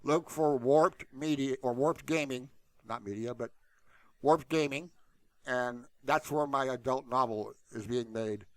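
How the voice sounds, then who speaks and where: A man's voice: mid-range voice, a little slow, no room sound. A man hosting a tutorial channel, talking casually and off the cuff in a blunt, rambling, colloquial way into a close mic. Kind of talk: casual unscripted screen-share talk.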